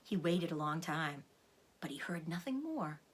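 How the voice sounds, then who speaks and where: A woman narrates a children's storybook in the manner of a teacher reading to a class. Her pitch moves widely for suspense and drama. The voice has a light huskiness. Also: big pitch swings, unhurried, slightly rough.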